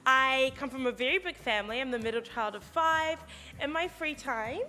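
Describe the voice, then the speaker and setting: Young NZ woman hosting a student evening, high and bright, upbeat, long hall reverb. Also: normal speed. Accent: New Zealand. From New Zealand. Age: young adult. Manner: upbeat and encouraging. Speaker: student presenter. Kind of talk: presenting to an audience.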